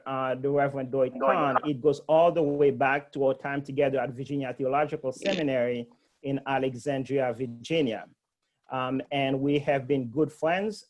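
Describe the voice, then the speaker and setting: A man's voice: mid-range voice, darkish tone. A middle-aged man from Haiti, a priest, earnestly thanking a church outreach team, with many ums and uhs.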